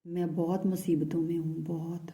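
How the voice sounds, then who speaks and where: A woman voicing a hushed, lovesick man. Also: lowish voice, level tone, normal speed, lightly acted. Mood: tender, pleading. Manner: soft, hushed, often whispering. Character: lovesick young man, pleading and tender. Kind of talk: channelled love message in first person.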